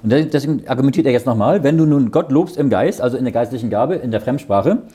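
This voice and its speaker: A man's voice: mid-range voice, animated, quick pace, warm tone, husky. A man leading a Bible study. He reads verses aloud, explains them in plain words and often checks with "ja?". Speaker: Bible teacher.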